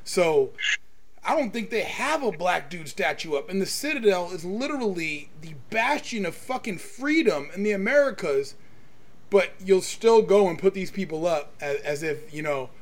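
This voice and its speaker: A man's voice: sits high, animated, normal speed. A man hosting a podcast, talking casually and emphatically about his opinions, with plenty of swearing. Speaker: podcast host.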